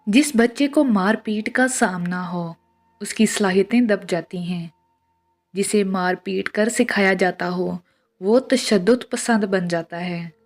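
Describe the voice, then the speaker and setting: Slow-paced woman narrating tips over music. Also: fairly low pitch, darkish tone. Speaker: narrator of household and health tips. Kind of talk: scripted narration of short tips.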